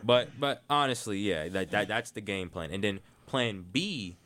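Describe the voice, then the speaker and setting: A young man chatting casually as a podcast guest, animated and quick to laugh. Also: fairly low pitch. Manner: animated, quick to laugh, repeats words when starting.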